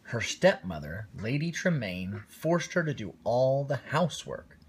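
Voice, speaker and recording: A man reading a picture-book story aloud to a young child on a phone livestream, gently stopping to chat with the child. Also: medium pitch, very animated, a little slow, a little hiss or hum. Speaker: storytime reader.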